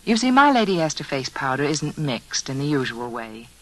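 Woman as vintage radio commercial hostess, polished and persuasive, low-set voice with lively melody. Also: normal speed, a little hiss or hum, poor audio. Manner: polished, persuasive, carefully phrased. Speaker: commercial spokeswoman. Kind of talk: scripted 1940s radio advertisement.

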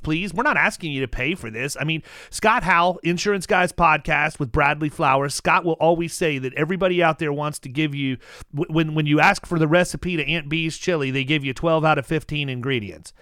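A man hosting a casual podcast, talking animatedly with many restarts and making pitches to his listeners. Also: a bit bright, no room sound, clean studio sound. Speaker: podcast host.

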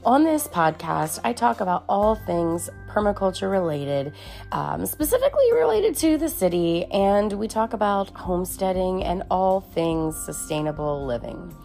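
A woman's voice: medium pitch, sing-song, normal speed, vocal fry, a little mellow, faint music behind. A woman hosting a podcast, giving an upbeat, friendly welcome and introducing her guest. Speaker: podcast host. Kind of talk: scripted show intro and interview questions.

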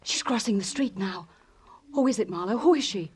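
An actress playing a frightened, anxious newly widowed woman, breathy and urgent, in a 1940s radio drama. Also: medium pitch, expressive, moderate pace, a little hiss or hum, lightly acted. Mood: alarmed, hushed. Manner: urgent, questioning. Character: frightened, anxious wife of a murdered man.

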